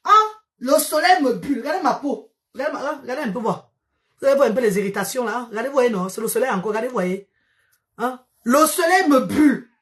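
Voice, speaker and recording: A Cameroonian woman whose mother tongue is Medumba, speaking French with a Cameroonian accent and talking to her followers in an animated, exclaiming way. She repeats herself and slips English words into her French. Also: on the high side, fast. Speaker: vlogger. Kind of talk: chatty storytelling to followers.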